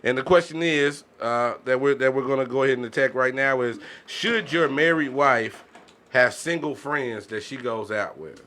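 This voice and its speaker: A man's voice: medium pitch, moderate pace, bright, forceful tone. A man hosting a podcast in a casual, slangy conversational way, often checking in with the listener. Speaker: podcast host.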